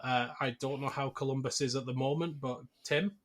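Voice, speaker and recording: A man's voice: mid-range voice, steady tone, fairly quick. A man hosting a podcast, talking through a film in an informal, wry and casual way. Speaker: podcast host. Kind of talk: casual film discussion and plot recap.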